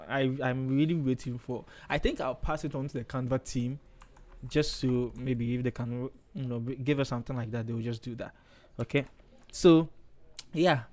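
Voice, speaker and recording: A man's voice: mid-range voice, a little mellow. A man from Ghana with a Ghanaian accent, hosting a casual live design stream and chatting as he works, often checking in with "okay". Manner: chatty, thinks aloud, frequent okays. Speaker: livestream host, designer.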